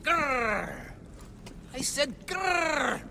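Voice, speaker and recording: An actor playing a pompous, silly fake Minotaur in a video game, with booming theatrical menace. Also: male voice, very animated, drawn-out words, gravelly, sharp tone, a little hiss or hum, full character voice. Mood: mock-menacing. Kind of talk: video game cutscene acting.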